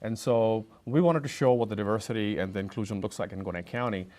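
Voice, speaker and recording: A man's voice: fairly low pitch, fast talker, a little mellow. A man hosting an interview, asking questions with hesitant fillers.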